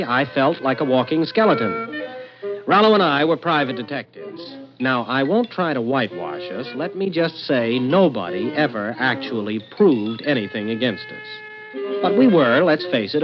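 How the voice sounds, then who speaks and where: Man playing sardonic private eye, medium pitch, deadpan wisecracks, vintage radio drama, dull sound, music behind. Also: lightly acted. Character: wry, broke, wisecracking male private eye narrator. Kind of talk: 1950s radio drama narration and dialogue.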